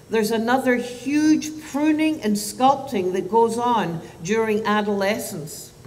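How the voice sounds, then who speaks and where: A Scottish woman in her sixties, a physician giving a public talk to parents at a lectern microphone, animated and asking the audience questions. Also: on the high side, a little hiss or hum. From Scotland. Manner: animated, gestures with both hands, asks the audience questions. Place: lecture hall at a lectern. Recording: roomy hall sound from a distance.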